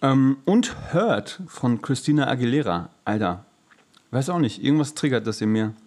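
A man's voice: mid-range voice, animated, moderate pace, a little mellow. A man co-hosting a podcast, chatting casually in a loose, slangy way with trailing asides. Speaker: podcast co-host. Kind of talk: casual chat.

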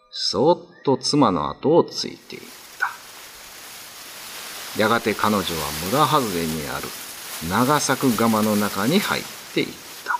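A man giving dramatic scripted narration of a classic ghost story. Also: lowish voice.

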